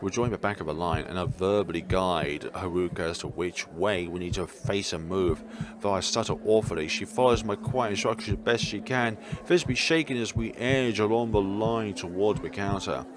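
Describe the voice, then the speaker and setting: A man's voice: deep voice, moderate pace. A man reading visual novel narration aloud as a let's player, theatrical but stumbling over words and breaking into jokey asides. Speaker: video game let's player. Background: game music.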